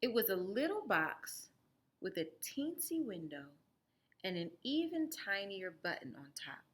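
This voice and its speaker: A woman reading a children's story aloud as a teacher, expressively, pausing to explain it to young listeners. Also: mid-range voice. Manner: animated, explanatory, guiding listeners through the story.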